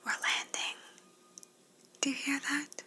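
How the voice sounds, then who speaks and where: A woman playing a polite, soothing female alien abductor in a whispered ASMR roleplay, gently reassuring and clinical in manner. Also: takes it slowly. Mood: calm, musing. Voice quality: breathy unvoiced whisper.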